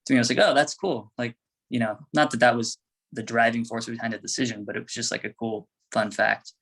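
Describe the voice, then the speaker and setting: Mid-pitched man in casual interview chat. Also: steady tone, fairly quick, creaky, fairly bright tone. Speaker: band member, interview guest.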